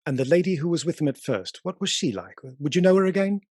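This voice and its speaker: A man acting as a businesslike Edwardian police inspector in a radio play, asking brisk, matter-of-fact questions. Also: a little high, very animated, darkish tone, no echo, clean studio sound.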